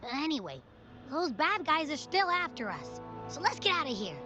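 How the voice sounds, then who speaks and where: A woman voicing a plucky, excitable young girl companion in a video game, chatty and expressive. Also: high-pitched, normal speed, highs cut off, over music. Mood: relieved, chatty. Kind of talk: video game story dialogue.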